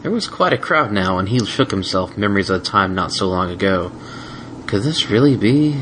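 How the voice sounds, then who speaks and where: A man narrating a fan-written pony story as a steady, unhurried reader. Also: on the low side, a little hiss or hum. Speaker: audiobook reader.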